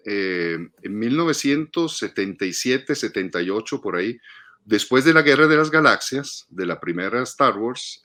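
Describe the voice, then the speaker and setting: A man in his fifties with a fairly low voice speaks in a reflective, conversational interview style. He is halting, often repeating a word or two while he gathers his thought. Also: a bit bright. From Padua region, northern Italy. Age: 50s. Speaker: guest, film critic and teacher.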